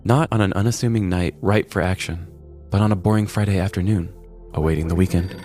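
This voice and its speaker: A man's voice: sits low, steady tone, a little fast, darkish tone. A man narrating a scripted comedic story as a podcast host, in a wry, mock-serious manner. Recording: music bed behind the voice. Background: background music.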